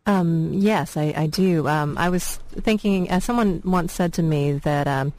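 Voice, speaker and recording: A woman's voice: low-pitched, a little slow, darkish tone, no echo, rough recording. A woman in her thirties, originally from Vietnam, a film director speaking as a radio guest in a reflective, rambling way with many fillers. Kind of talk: radio interview, personal storytelling.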